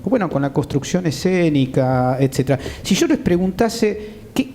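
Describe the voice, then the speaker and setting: Argentine guy hosting a panel, soft mellow tone, a bit high for a man, wordy questions, faint noise and rumble behind. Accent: Argentine Spanish. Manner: discursive, with frequent checking tags and repeated words. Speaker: host, moderator. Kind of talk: conversational interviewing. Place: theatre stage. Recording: clear background noise with low rumble.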